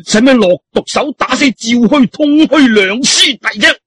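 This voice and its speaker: Man doing a huffy, raspy Cantonese Taoist priest. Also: very high voice, animated, normal speed, a bit bright, dry recording, pitched above the performer's own voice, full character voice. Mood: furious, breathless. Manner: huffy, blustering, accusatory. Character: angry, breathless, accusing male Taoist priest.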